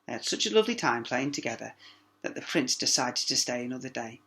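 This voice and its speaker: A man's voice: medium pitch, sing-song, fast, pushed and bright. A man narrating a children's story expressively as a teacher, with small asides to young listeners.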